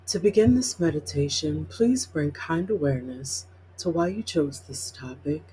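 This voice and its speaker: A meditation guide reading a scripted guided meditation in measured, instructional, spaced-out phrases. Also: mid-range voice, lively intonation, warm tone, slight background noise, rough recording. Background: plucked guitar music.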